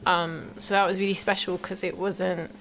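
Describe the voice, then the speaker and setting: A woman's voice: lowish voice, flat delivery, moderate pace, fairly bright tone, a little hiss or hum, rough recording. A young woman with a South London accent, a singer-songwriter talking casually and informally as an interview guest, retelling things as quoted conversation. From South London, born in Colombia. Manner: informal, anecdotal, quoting conversations. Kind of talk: casual chat.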